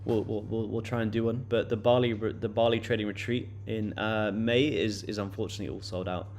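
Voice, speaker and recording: Late-20s male streamer, low-pitched, relaxed and chatty, repeats himself and fills pauses. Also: a little hiss or hum. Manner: loose and chatty, many fillers and repeated words. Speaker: day-trading livestream host. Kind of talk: casual live-stream chat answering viewers.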